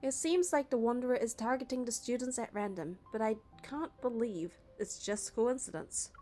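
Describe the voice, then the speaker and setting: A woman's voice: on the high side, normal speed, dark tone. A young woman narrating a game playthrough, reading the story and the player character's part in a casual way. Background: music.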